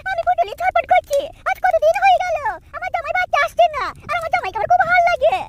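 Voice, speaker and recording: An actor voicing a scheming, lustful middle-aged village woman in a cartoon, with a shrill, squeaky voice and fast, pleading chatter. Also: lively intonation, dry recording. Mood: eager. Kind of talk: adult cartoon comedy acting. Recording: loud background noise.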